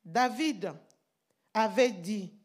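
A woman pastor preaching a sermon in deliberate teaching fashion, stopping to check that her listeners follow, speaking a language that is not her first. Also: medium pitch, husky. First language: not French.

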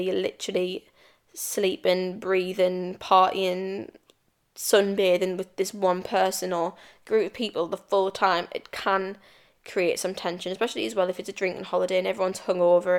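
A woman's voice: lowish voice, level tone, moderate pace, smooth. A young British woman hosting her own podcast, chatting casually and rambling with restarts and stumbles. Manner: chatty, rambling, self-described stuttering and babbling. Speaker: podcast host. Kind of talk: casual solo podcast chat.

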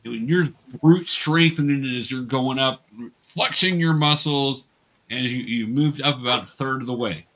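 A man's voice: medium pitch, moderate pace, a bit bright. A man acting as game master, patiently coaching players and narrating the action in an encouraging, improvised way.

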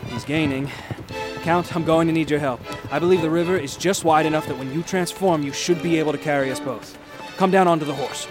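An actor playing a confident, philosophical mentor in a radio drama, with a teasing, cryptic manner. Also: male voice, on the high side, fairly quick, lightly acted. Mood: urgent, bold. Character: cryptic, wry, confident male adventurer and mentor. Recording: music behind the voice.

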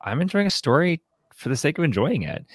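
A man co-hosting a podcast in a casual, reflective book discussion, haltingly repeating his words as he thinks. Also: a little high, big pitch swings, husky, dry recording. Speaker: podcast co-host.

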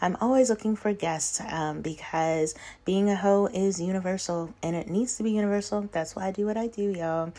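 A woman's voice: mid-range voice, normal speed, mellow. A woman podcast host chatting casually in an informal, enthusiastic way. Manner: informal, enthusiastic, frequent y'all.

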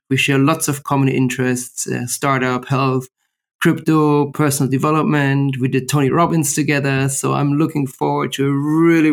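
A man's voice: mid-range voice, vocal fry. A man hosting a podcast over a call, upbeat and summarizing topics for listeners. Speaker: podcast host. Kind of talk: casual interview chat. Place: remote call. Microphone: video call.

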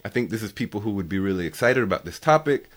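A man's voice: fairly low pitch, expressive, quick pace. A man hosting a podcast, chatting casually and telling stories in an upbeat way. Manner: upbeat storyteller. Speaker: podcast host. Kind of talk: casual conversation.

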